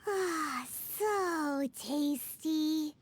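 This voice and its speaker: A woman voicing a tiny, excitable, food-loving fairy girl guide in a video game, babyish and exclaiming. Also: drawn-out words, a little hiss or hum. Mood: delighted. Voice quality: squeaky, babyish. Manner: refers to herself in third person, exclaiming.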